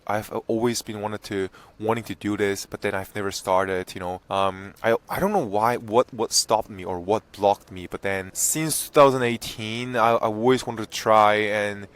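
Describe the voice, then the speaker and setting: A 23-year-old man from South Korea and southern China, a native speaker of Korean and Mandarin, talking casually and candidly as a vlogger, often restarting his phrases. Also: on the low side. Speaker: vlogger and language content creator. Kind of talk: casual personal vlog talk to camera.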